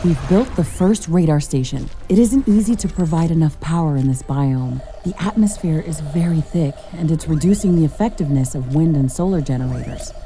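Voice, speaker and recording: A curious, determined commando scientist in a sci-fi game, acted by a woman. Her voice is slightly low and very soft-edged, warm and rounded. She explains her plans with purpose, with faint music underneath. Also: brisk, few pauses, natural voice. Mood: focused, businesslike. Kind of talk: video game voice acting.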